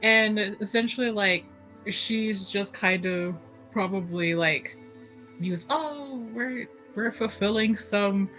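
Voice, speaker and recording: A woman's voice: medium pitch, takes it slowly, fairly bright tone, soft background music, rough recording. A woman playing a tabletop roleplaying game, chatting casually about what her character does.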